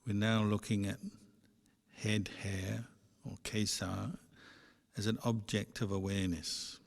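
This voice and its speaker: A man who is a Buddhist monk leading a guided meditation, instructing gently and in a measured way with pauses. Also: on the low side, little pitch movement, gravelly. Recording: echoey hall with long reverb.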